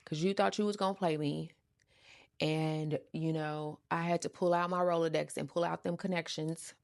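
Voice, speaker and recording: Woman, American accent, slangy casual storytelling, gravelly fry, slightly low voice, animated and sassy. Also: normal speed, dry recording, clean studio sound. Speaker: storytime show host. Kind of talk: casual storytelling, acting out quoted conversations.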